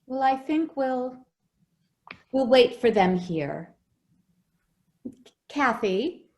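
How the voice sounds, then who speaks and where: A gentle nanny in an old-time radio play, acted by a woman, coaxing and soothing in a fairly high voice that swoops up and down. She takes her time, fussing with forced cheer. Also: big pitch swings, very slow, exaggerated voice. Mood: gently evasive. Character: gentle, fussing nanny minding a little girl.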